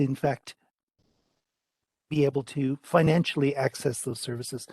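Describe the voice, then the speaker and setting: An older man with a Canadian accent puts questions to witnesses at a parliamentary hearing. He speaks at a middling pitch, probing and deliberate, and leans on the key words. His voice is close and dry, with no room sound. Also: darkish tone, dry recording. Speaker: committee member questioning witnesses. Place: home study, indoors. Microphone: headset on video call.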